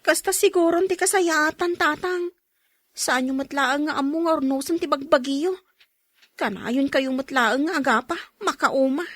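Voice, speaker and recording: A woman's voice: high voice, unhurried, fairly bright tone, no room sound, clean studio sound. A woman playing a dutiful eldest daughter in a radio drama, speaking earnestly and pleadingly to her family. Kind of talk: radio drama acting in Ilocano.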